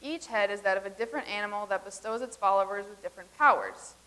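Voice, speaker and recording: A woman's voice: medium pitch, fast, bright, forceful tone, lightly acted. A woman reading the part of a visitor from the far future in a staged comedy reading, explaining everything in an earnest, matter-of-fact way.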